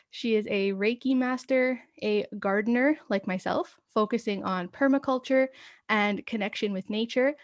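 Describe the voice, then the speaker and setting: An upbeat woman hosts a casual wellness podcast, gushing with excitement and laughing freely. Her voice sits in an ordinary middle pitch, and the sound is dry and close. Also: no echo. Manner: bubbly, enthusiastic, laughs often. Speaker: podcast host.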